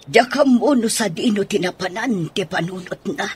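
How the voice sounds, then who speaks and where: A woman's voice: mid-range voice, moderate pace, slightly rough. A woman with an Ilocano accent acting as an older, sympathetic mother-in-law who is indignant at her son, in a radio drama. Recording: noticeable background noise.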